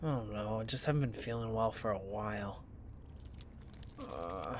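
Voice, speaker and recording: An easygoing young male student in an audio drama, sardonic yet caring. His pitch moves around a lot as he talks casually and flippantly. There is faint noise behind the voice. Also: expressive, normal speed, fairly bright tone. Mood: weary.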